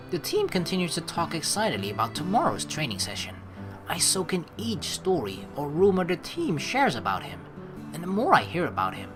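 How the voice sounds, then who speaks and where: A woman let's play streamer reading game text aloud and adding chatty commentary. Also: low voice.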